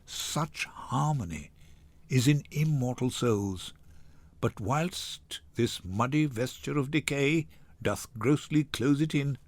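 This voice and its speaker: Man playing a tender, poetic gentleman lover. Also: medium pitch, lively intonation, no echo. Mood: tender, rapt. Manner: lyrical, measured, tender. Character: Lorenzo, romantic, poetic young gentleman lover. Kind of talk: dramatic Shakespeare reading.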